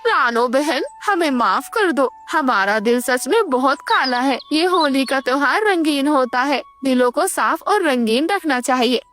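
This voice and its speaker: A woman's voice: high voice, animated, measured pace, hardly any pauses, fairly bright tone, faint music behind. A woman voicing an emphatic female crow, a wife, in a children's cartoon story. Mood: apologetic. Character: snooty crow wife, later apologetic.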